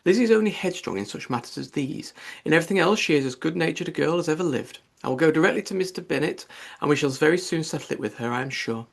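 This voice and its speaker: A man's voice: fairly high pitch, animated, fast, creaky, voice pushed up. A man voicing a fretful, self-pitying middle-aged mother in an audiobook, complaining in an agitated, melodramatic way. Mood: alarmed, placating.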